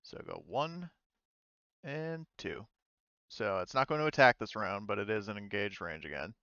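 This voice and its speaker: A man acts as game master for a tabletop roleplaying session. His voice is clear and mid-pitched, recorded dry and a little muffled. He talks casually, feeling his way through rulings and swearing freely. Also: lively intonation, moderate pace, pushed and bright, dry recording.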